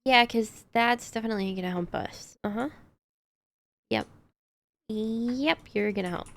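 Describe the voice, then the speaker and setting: A woman's voice: a little high, a little slow, creaky, fairly bright tone. A woman streamer chatting casually to herself while she plays a game. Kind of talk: casual gameplay commentary.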